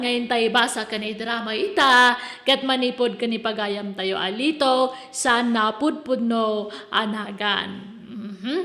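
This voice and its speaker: Slow, bright-voiced Ilocano woman host. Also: on the high side, roomy sound. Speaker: drama host and letter reader. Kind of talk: scripted hosting and narration. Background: music.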